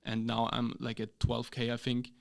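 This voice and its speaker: A man's voice: medium pitch, completely flat delivery, normal speed, gravelly, smooth. Hesitant man in casual chat. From Austria. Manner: hesitant, full of fillers and restarts. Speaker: podcast guest.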